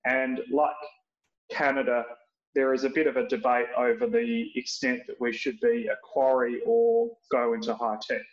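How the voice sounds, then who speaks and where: A man with an Australian accent, a researcher speaking as a podcast guest, giving measured explanatory remarks with frequent fillers and restarts. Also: mid-range voice, animated, echoey room, highs cut off.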